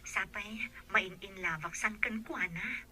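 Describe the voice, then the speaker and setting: A woman's voice: mid-range voice, slow, pushed and bright, husky, exaggerated voice. An actress playing an earnest working mother abroad in a radio drama. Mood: earnest, defensive.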